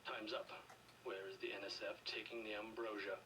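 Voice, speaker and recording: An actor playing a cold, condescending government official in a video game, speaking in measured, quiet threats. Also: male voice, fairly low pitch, little pitch movement, far from the mic, noisy background, close to an everyday voice. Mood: cold menace. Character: cold, menacing middle-aged male government official interrogating a prisoner.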